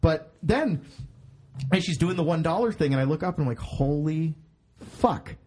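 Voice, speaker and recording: Husky guy podcast host, kinda high voice, rambles and cusses, cracks himself up. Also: animated, moderate pace, a little mellow. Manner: rambling, swears, laughs at himself. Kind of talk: casual chat.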